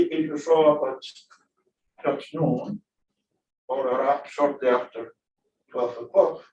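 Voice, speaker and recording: A man's voice: medium pitch, a little mellow, a little hiss or hum. Man lecturing in an echoey classroom. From Bulgaria. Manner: halting, many fillers and restarts. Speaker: physics teacher. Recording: echoey classroom, distant mic.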